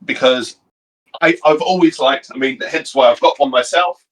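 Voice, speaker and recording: Rambling Cornish man, mid-pitched voice. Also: moderate pace, a bit bright, poor audio. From Cornwall, England. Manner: rambling, hesitant, many fillers. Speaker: co-host. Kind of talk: casual podcast chat. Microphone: video call.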